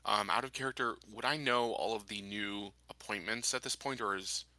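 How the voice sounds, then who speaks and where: A man's voice: lowish voice, steady tone, normal speed, pushed and bright, dry recording. A man who plays a tabletop game, asking casual out-of-character questions.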